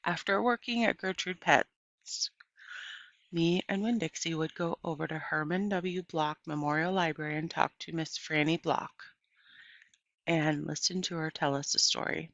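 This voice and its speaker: A woman narrates a story aloud in a low, bright, forward voice. She reads in an animated storytelling manner, as for an audiobook. The recording is dry and a little dull. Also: measured pace, dry recording. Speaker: audiobook reader.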